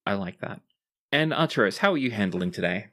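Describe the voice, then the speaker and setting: A man's voice: lowish voice, expressive, brisk, bright, forceful tone. Man running a tabletop game. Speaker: game master. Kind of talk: narrating a tabletop game, conversational.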